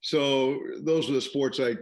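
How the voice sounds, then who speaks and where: A man's voice: mid-range voice, fairly even intonation, unhurried. An older man, a retired tennis coach, telling stories about his past as a guest in a casual interview.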